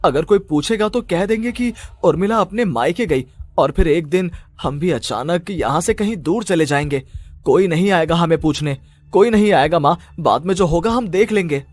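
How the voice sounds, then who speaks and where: A man's voice: a little high, animated, moderate pace, darkish tone, no echo, faint music behind. A man voicing an anxious, pleading young husband in a dramatized horror cartoon story, agitated and prone to stammer. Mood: nervous, scheming. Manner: agitated, stammering when frightened.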